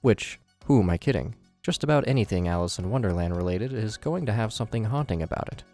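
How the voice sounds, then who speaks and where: A man's voice: fairly low pitch, animated, quick pace, a little mellow. A man hosting and narrating scripted horror commentary in a theatrical presenter style with wry asides. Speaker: video host and narrator. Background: background music.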